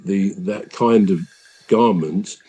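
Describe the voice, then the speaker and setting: A man with a deep voice, hosting a podcast and explaining things at length in a casual, measured way. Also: mellow, faint music behind. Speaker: podcast host.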